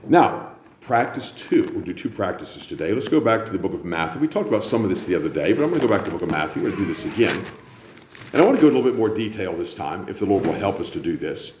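A man preaches to a congregation with a lively, swooping delivery, slipping into self-mocking jokes and teasing asides between points. His voice rings through a roomy sanctuary over a faint hum of ambience. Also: sits low, fast talker. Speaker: pastor. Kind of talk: sermon with joking asides.

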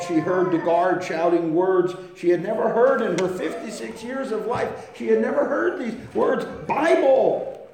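A man preaching a sermon, swinging between hushed storytelling and loud, impassioned emphasis. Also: high voice. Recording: echoey room with faint noise.

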